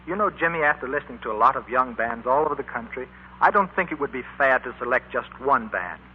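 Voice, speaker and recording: A man playing a genial, fair-minded bandleader in a 1940s radio drama, with a husky voice and measured, avuncular manner. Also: medium pitch, animated. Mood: genial, assured. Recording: muffled 1940s radio recording.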